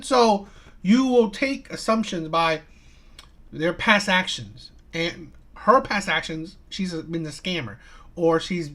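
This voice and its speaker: A man hosting a live stream and giving reaction commentary, talking in a halting way with many restarts and tag questions like "right?". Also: a little high, bright, forceful tone. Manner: halting, repeats "right?" and "okay?".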